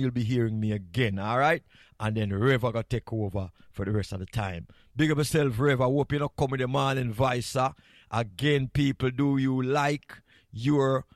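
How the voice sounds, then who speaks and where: A man's voice: mid-range voice, no room sound. Jamaican man hosting radio, close dry sound. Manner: playful, candid, repeats phrases for emphasis. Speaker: radio host. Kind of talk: casual morning radio chat.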